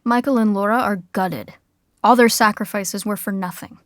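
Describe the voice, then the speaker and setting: A woman's voice: mid-range voice, normal speed, no echo, clean studio sound. A woman narrating a scripted sitcom in the present tense.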